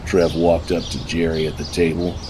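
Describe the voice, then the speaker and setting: Very deep-voiced male noir narrator. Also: little pitch movement, normal speed, noisy background. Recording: music under the voice.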